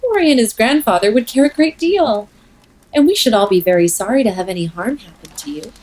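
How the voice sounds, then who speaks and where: A woman playing a husky, proper young governess. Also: a little high, expressive, brisk, darkish tone, rough recording. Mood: concerned. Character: proper, sensible, caring young governess, eldest sister. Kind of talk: audio play acting.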